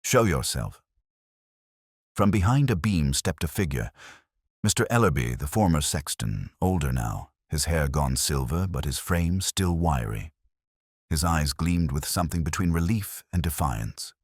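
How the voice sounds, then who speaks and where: A man's voice: extremely low pitch, lively intonation, normal speed, breathy edge, dry recording, studio quality. A man reading a scripted mystery story as its narrator.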